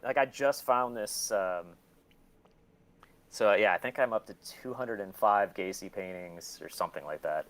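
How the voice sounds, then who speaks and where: Low-voiced man, candid and rambling. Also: fairly bright tone. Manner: candid, profane, self-deprecating. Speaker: guest, collector. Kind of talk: casual interview chat.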